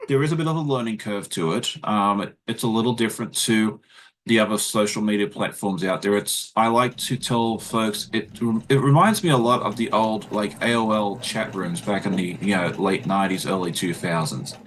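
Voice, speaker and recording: An Australian man, a guest, talks quickly in a casual livestream chat with lots of fillers and restarts. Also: on the low side, a bit bright, slight background noise. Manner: fast-talking, chatty, many fillers and restarts. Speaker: guest content creator.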